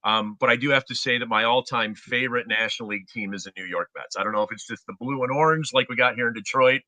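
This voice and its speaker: A man's voice: medium pitch, fast, pushed and bright, dry recording, poor audio. A middle-aged American man, a former stadium announcer, chatting casually as an interview guest.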